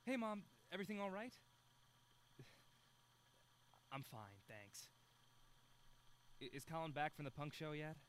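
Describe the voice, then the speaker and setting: Audio drama friend: young film fanatic, playful, mock-formal, widely varied intonation. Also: male voice, a little high, very animated, normal speed, slight background noise. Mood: awkward, subdued. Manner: bantering, mock-formal, teasing.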